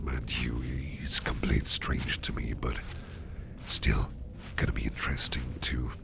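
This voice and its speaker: A man in an ASMR roleplay voicing an eager, secretive boss with fangs, speaking in a soft, rambling whisper over clear background noise. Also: very deep voice, full character voice.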